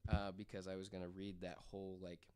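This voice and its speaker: Man, fairly low pitch, smooth clean voice, casual storytelling, loose informal phrasing, podcast guest. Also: completely flat delivery, fairly quick, a little mellow.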